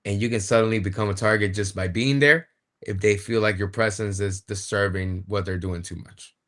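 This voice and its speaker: Low-voiced man explaining casually. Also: fairly even intonation, a little fast, fairly bright tone. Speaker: wrestler guest. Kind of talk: casual chat.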